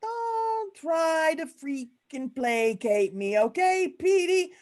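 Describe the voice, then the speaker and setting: A woman's voice: very high-pitched, animated, slow, a little mellow, heavily acted. A woman playing an irritable, bossy Italian-American male mob boss in an amateur old-time radio comedy play, talking like an exasperated tough guy. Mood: defensive. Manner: gruff, bossy, exasperated wiseguy talk.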